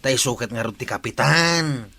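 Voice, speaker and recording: A man's voice: mid-range voice, moderate pace, vocal fry, pushed and bright, husky, exaggerated voice. An actor playing a disgruntled, plain-spoken village man with firm opinions in a comedy radio drama.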